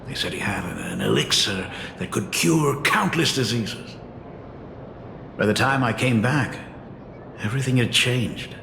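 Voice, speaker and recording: An actor playing a weary, regretful middle-aged father with a gravelly voice and measured, solemn delivery in a video game. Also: mid-range voice, lively intonation, sharp tone, slight background noise, full character voice. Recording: echoey long reverb, music behind.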